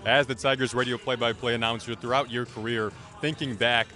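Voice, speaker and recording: A young American man hosts a sports interview at a brisk pace. His voice sits at a medium pitch, picked up by a handheld mic in an open stadium with light music behind him. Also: fairly even intonation, bright, forceful tone. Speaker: sports show host, interviewer. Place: outdoors in a large open baseball stadium. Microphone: handheld microphone.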